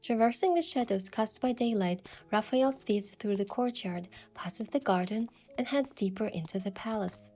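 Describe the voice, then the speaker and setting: Game heroine, female performer: young would-be princess, mellow and soft, wistful first-person storytelling, faint music behind. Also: mid-range voice, expressive, normal speed, hardly any pauses. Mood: soft, descriptive. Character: curious young woman posing as an indulgent princess. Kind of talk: scripted first-person story narration with acted dialogue.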